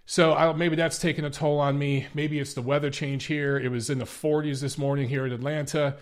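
A man's voice: fairly high pitch, level tone, fairly quick. A man hosting a solo boxing podcast, talking casually and earnestly to his listeners with a slightly stuffy, congested voice. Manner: conversational, earnest, addresses listeners as guys. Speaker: podcast host.

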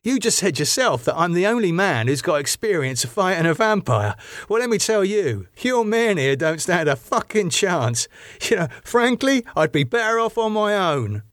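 A man voicing an agitated, defiant man, pitched up. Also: big pitch swings, moderate pace, a bit bright, dry recording, clean studio sound. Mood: sarcastic, defiant. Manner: stammering, sweary, snapping outbursts. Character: agitated, defiant man, haunted and bitterly sarcastic. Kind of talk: audiobook character dialogue.

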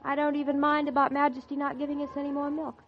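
High-voiced, whimsical young wife. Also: female voice, monotone, quick pace. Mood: earnest, delighted. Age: young adult. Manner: gushing, wonder-struck. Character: whimsical, earnest young farm wife and new mother. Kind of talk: 1940s radio acting. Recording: muffled 1940s radio recording.

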